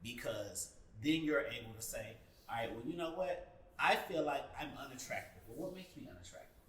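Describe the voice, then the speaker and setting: A man's voice: medium pitch, lively intonation, normal speed. A man giving candid advice in a group discussion, speaking in an emphatic, sassy manner. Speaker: panelist. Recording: roomy, distant mic, faint background noise.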